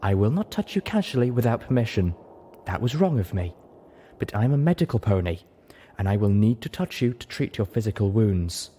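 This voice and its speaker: A man's voice: lowish voice, very animated, brisk, slight background noise. Man voicing a motherly, insistent pony mare medic. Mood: gently insistent. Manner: gentle but insistent.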